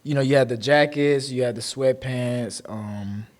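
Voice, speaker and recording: A man's voice: medium pitch, measured pace. A man in his twenties co-hosting a podcast, asking loose questions full of fillers. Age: 20s. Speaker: podcast co-host. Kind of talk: casual interview chat.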